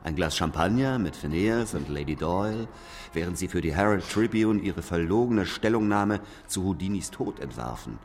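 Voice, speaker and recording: An actor playing a hard, relentless interrogator in a German radio drama, speaking with sardonic, accusing insistence. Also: male voice, deep voice, lively intonation, normal speed, a little mellow. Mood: grave, accusing. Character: hard, relentless male interrogator confronting an aged knighted author. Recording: long reverb with music behind.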